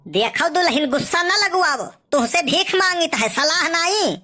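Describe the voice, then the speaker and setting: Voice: very high-pitched, animated, moderate pace, pushed and bright, heavily acted. A performer playing a wily, wheedling old male beggar in a village cartoon comedy, boastful, with an Awadhi accent. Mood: indignant, sly. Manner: sing-song blessings, wheedling, boastful.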